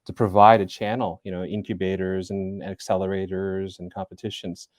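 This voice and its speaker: A man in his forties answering interview questions in a low voice. He speaks thoughtfully, pausing with fillers and small laughs. The recording is dry and close. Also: little pitch movement, dry recording. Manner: thoughtful, hesitant, with many fillers and soft laughs. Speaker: interview guest, business school center director. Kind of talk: casual interview talk.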